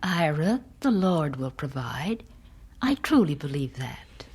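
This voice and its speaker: Breathy, gentle, knowing wife. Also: female voice, deep voice, very animated, slow pace, heavily acted. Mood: tender, teasing. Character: Fanny, supportive, gently knowing 19th-century wife and mother. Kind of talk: radio drama dialogue.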